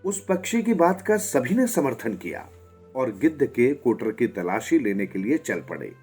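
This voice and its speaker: Male narrator for moral tales: expressive, lively intonation, slightly rough husky voice, music bed. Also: mid-range voice, measured pace. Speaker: storyteller. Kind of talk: scripted moral story narration. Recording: music behind the voice.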